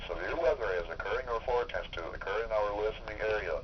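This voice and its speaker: A man reading a formal, measured station announcement over a weather radio. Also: on the low side, far from the mic. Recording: dull noisy weather radio, picked up from afar.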